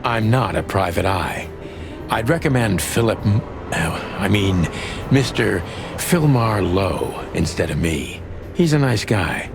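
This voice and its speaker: An actor playing a weary, cynical aging rooster detective in a noir game, gravelly and deadpan. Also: male voice, sits low, slow pace, exaggerated voice. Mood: dry, dismissive. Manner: world-weary, deadpan, hardboiled. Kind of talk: noir first-person narration. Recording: studio voice under loud music.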